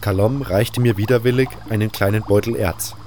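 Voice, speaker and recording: A man's voice: fairly low pitch, steady tone, moderate pace, a little mellow, slight background noise. A man reading scripted first-person narration for a fantasy audio drama.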